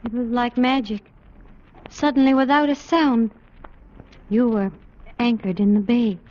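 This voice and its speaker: A woman acts out a solitary young woman in a 1940s radio adventure. Her voice is high, her manner wary and wistful. It sounds like a dull vintage broadcast. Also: fairly even intonation, measured pace, lightly acted. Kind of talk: 1940s radio drama acting.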